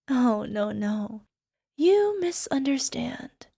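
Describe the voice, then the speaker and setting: A woman playing a young, sweetly possessive yandere alchemist girlfriend in an ASMR roleplay, coaxing softly and sometimes slipping toward a whisper. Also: high-pitched, gravelly, breathy edge, no room sound, studio quality, exaggerated voice. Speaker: voice actor. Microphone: close microphone, dry.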